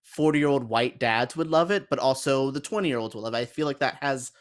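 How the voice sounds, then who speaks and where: Male panelist, mid-pitched voice, casual and opinionated, keen delivery. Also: a little fast. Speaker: podcast panelist. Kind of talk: casual chat.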